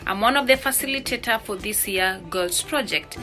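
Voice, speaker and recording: A woman's voice: mid-range voice, normal speed, bright, forceful tone, faint music behind. A woman from Kenya, a teacher and facilitator, speaking in a formal, welcoming way. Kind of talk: spoken introduction.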